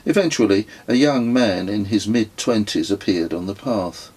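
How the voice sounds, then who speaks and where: A man reading his own memoir as an audiobook, in measured, descriptive storytelling narration. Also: on the low side, a little mellow, slightly rough.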